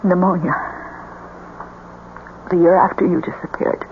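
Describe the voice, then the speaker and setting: An actress playing a frightened, pleading wife in a radio drama, speaking in a halting, anxious way. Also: female voice, on the low side, steady tone, natural voice. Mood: sorrowful, fearful. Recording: muffled, noisy old radio broadcast.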